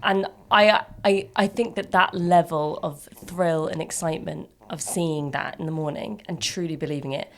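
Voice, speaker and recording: A young woman with a slightly deep, nasal voice, co-hosting a casual podcast. Her voice sits a little low, and she talks in a wry, blunt way. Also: steady tone. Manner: wry, blunt, quick to laugh. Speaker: podcast co-host. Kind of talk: casual chat.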